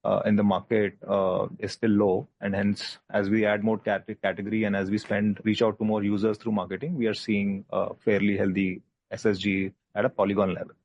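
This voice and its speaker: A man with an Indian accent, a company executive answering analyst questions in a measured, explanatory way on a conference call. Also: fairly low pitch, flat delivery, a little mellow, no echo, highs cut off. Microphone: conference call line.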